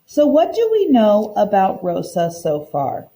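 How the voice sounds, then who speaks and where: Mellow, expressive woman reading to children. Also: medium pitch, a little slow. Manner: simple, explanatory teacher talk addressed to young children. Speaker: teacher reading a picture book aloud. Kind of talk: children's read-aloud with explanatory commentary.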